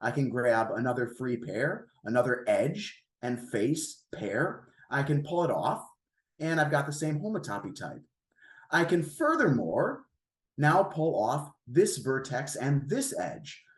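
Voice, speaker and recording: A man giving an academic math lecture in plain, informal explanatory language, his voice slightly raspy as he gets over a cold. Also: mid-range voice, sing-song, unhurried. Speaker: guest seminar lecturer, math researcher. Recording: roomy, echoey sound.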